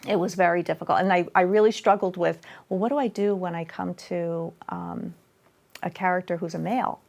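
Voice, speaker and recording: A middle-aged woman chatting as a guest in a local studio interview. Her voice sits low and has a gravelly, creaky texture. She rambles warmly with plenty of fillers and restarts. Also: steady tone, a little fast. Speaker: author and guest. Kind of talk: casual interview chat.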